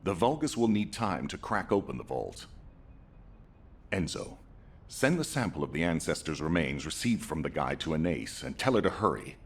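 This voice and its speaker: An actor playing an authoritative, businesslike commander in a video game, giving decisive, commanding delivery. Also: male voice, a bass voice, very animated, slightly rough, exaggerated voice. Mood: urgent, commanding. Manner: commanding, brisk.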